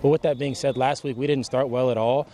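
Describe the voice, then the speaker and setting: A young man speaks as a college athlete in an interview. He talks very quickly at a middling pitch, earnest and matter-of-fact in his answers. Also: steady tone, warm tone. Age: young adult. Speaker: college football player. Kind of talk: post-practice interview answers.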